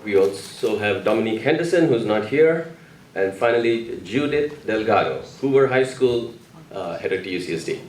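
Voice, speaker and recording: A man's voice: on the low side, lively intonation, normal speed. A man presenting at an awards ceremony, announcing the names of recipients to an audience in a hall. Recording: echoey hall, distant mic, crowd noise.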